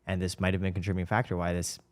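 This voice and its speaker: Young American man, quick conversational delivery, slightly low pitch, casual podcast storyteller. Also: flat delivery. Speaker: podcast participant. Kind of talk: casual chat and anecdotes.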